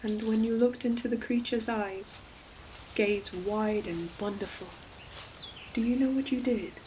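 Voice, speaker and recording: A woman voicing an ancient, gentle forest demon in a scripted audio roleplay, speaking tenderly in archaic storybook phrasing. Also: on the high side, measured pace, lightly acted. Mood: nostalgic, tender. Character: ancient gentle forest demon, hulking moss-covered creature. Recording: clear forest ambience noise behind voice. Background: forest nature sound effects with animals.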